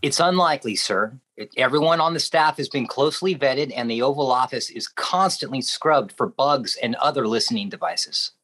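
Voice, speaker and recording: An actor playing an earnest, respectful American intelligence agent with a husky voice in a radio play. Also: male voice, medium pitch, normal speed, hardly any pauses, fairly bright tone, natural voice. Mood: earnest, formal. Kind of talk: Cold War radio play acting.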